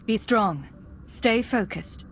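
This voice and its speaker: A woman playing a cool, steely imperial spy in a video game, deliberate and provocative. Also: a little high, lively intonation, a little hiss or hum. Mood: cool resolve.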